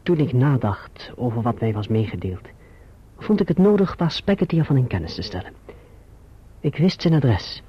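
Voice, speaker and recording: An actor playing an earnest, courteous young gentleman who also narrates his own story in a Dutch radio play. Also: medium pitch, big pitch swings, moderate pace, slightly rough. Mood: composed, reflective. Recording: muffled old radio recording.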